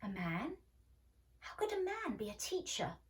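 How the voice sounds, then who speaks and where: A woman's voice: a little high, sing-song, measured pace, far from the mic, voice pushed up. A woman voicing a stubborn, scornful schoolgirl in a storybook, with an argumentative, doubtful manner. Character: stubborn, scornful schoolgirl who dislikes lessons.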